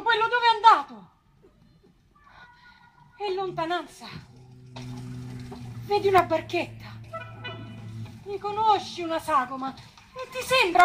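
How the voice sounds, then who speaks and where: An actress playing an impassioned Roman townswoman telling of a revolution on stage, with emphatic delivery and dramatic pauses. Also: very high-pitched, a bit bright, full character voice. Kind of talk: theatrical storytelling on a live stage with music.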